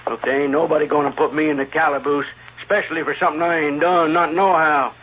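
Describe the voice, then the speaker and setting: A man's voice: a little high, steady tone, fast talker, natural voice. An actor playing an ornery, uneducated frontier gunman in a 1950s radio western, with a folksy, blustering Western drawl. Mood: indignant. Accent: American West drawl. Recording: tinny, faintly noisy old radio.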